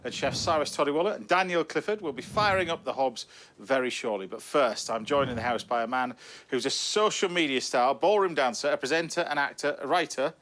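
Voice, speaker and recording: A man's voice: mid-range voice, a little fast, a bit bright. A man with an English accent hosting a cooking show, demonstrating a dish to camera with enthusiastic, jokey patter. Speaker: TV chef and host. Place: home kitchen on TV set.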